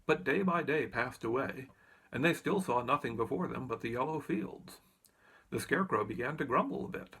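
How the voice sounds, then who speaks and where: A man's voice: mid-range voice, animated, normal speed, fairly bright tone, husky, low-quality recording. A man reading a children's story aloud as narrator. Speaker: audiobook reader.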